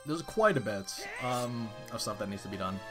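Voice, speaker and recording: Animated, rambling male streamer. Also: fairly low pitch, normal speed, over music. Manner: excitable, rambling, many restarts. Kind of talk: casual live-stream chat while playing.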